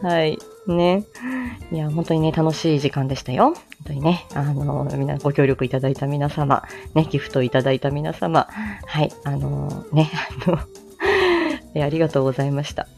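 A woman's voice: low-pitched, normal speed, creaky, darkish tone, faint music behind. A woman chatting casually on a morning livestream in a polite, giggly, talkative way. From Japan, outside Tokyo. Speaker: livestream host, amateur voice drama performer.

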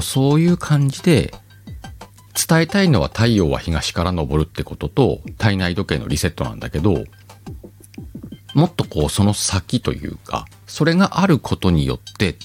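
Deep-voiced man, lively rambling host. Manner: rambling, self-mocking, drawn-out pauses. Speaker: podcast host. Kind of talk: casual solo chat.

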